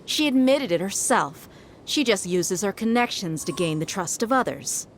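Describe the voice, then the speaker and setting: An actress playing a shrewd, confident desert mercenary in a video game cutscene, with a wry, matter-of-fact delivery. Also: female voice, medium pitch, animated, normal speed, a little hiss or hum, lightly acted. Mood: mocking. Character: shrewd, self-assured adult female desert mercenary. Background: background game music.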